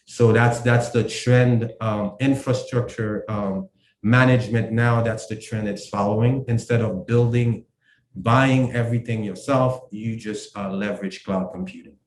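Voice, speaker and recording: A man from Haiti, a company co-founder, explaining his work in a casual interview, halting with many fillers and restarts. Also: on the low side, steady tone, roomy sound. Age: adult.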